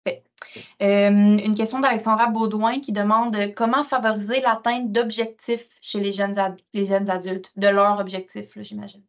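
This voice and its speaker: A woman moderating a webinar, reading out audience questions in a brisk, businesslike way. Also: mid-range voice, level tone. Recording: muffled lo-fi web call audio.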